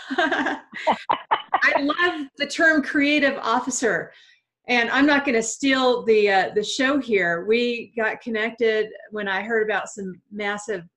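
A woman's voice: a little high, slow, a bit bright. Enthusiastic woman hosting a podcast. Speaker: podcast host. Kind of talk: casual interview.

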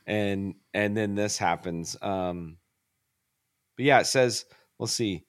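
A man's voice: fairly low pitch, takes it slowly, clear, resonant tone, no echo. A man co-hosting a casual music podcast, talking loosely with lots of "like" and "I mean". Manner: conversational, hedging with "like" and "I mean". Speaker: podcast co-host. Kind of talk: casual chat about music.

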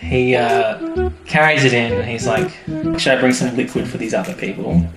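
A man's voice: medium pitch, normal speed. A man co-hosting a podcast, retelling television episodes in a casual, jokey, informal way. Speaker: podcast co-host. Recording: echoey room, faint music behind.

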